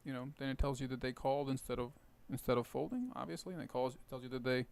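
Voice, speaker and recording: A man with a rough, hoarse voice casually explaining his poker plays while thinking aloud. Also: mid-range voice, fast, a little mellow. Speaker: poker video host. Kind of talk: casual poker commentary over gameplay.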